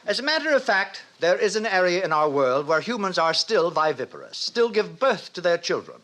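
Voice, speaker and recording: An actor playing a pompous, lecherous middle-aged director lecturing theatrically in an old radio drama. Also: male voice, sits high, big pitch swings, fairly quick, a bit bright. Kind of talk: 1950s radio drama acting. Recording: noisy old radio broadcast recording.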